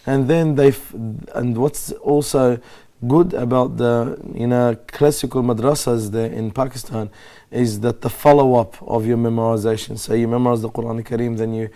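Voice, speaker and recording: A middle-aged man speaks evenly and steadily, keeping his delivery flat. His tone is dark and mellow, and he lays out his points patiently for a live TV audience. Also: mid-range voice. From Australia. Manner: calm, measured explanatory talk. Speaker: guest Islamic scholar. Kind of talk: live TV interview.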